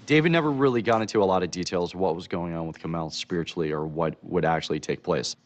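Very low male voice, halting interview delivery, sounds distant from playback. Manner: halting, searching for words. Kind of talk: interview clip played from a documentary.

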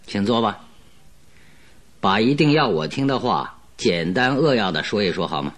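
An actor playing a confident, commanding detective in a radio drama, with a brisk, sardonic, probing manner. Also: male voice, lowish voice, sing-song. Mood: brisk, composed.

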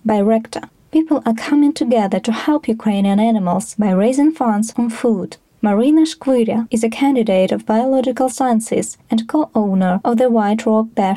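A woman's voice: a little high, dark tone, dry recording. A woman reading scripted narration for an audio documentary.

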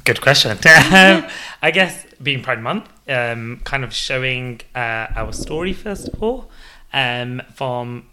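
A man's voice: medium pitch, animated, takes it slowly, sharp tone, slight background noise. A man in his thirties from the United Kingdom, a podcast guest who laughs often and fills with ums. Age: 30s. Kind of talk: casual interview.

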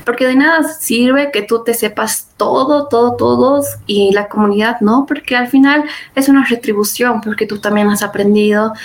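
Young woman on a podcast, kinda high voice, chatty, lots of fillers and little quoted bits. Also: moderate pace, hardly any pauses. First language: Spanish. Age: young adult. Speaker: podcast guest. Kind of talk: casual interview storytelling.

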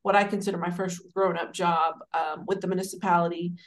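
A woman in her forties from Dallas, telling her career story as an interview guest in a warm, rambling, conversational way. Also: lowish voice, level tone, a little fast. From Dallas, Texas. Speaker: guest, city administrator.

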